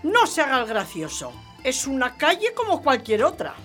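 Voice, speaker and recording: A woman's voice: high-pitched, huge pitch swings, moderate pace, a bit bright, faint music behind, exaggerated voice. An actress playing a stout, stingy lady taxi passenger in a radio play, imperious and brusque. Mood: haughty, stubborn. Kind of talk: radio drama acting.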